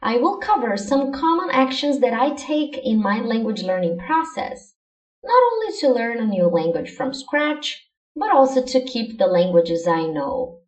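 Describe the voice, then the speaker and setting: A Brazilian woman whose first language is Portuguese, hosting her own podcast and giving clear, encouraging language-learning advice from a script. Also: a little high, big pitch swings, unhurried, roomy sound, distant mic. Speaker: podcast host, language coach. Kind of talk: scripted solo podcast lesson.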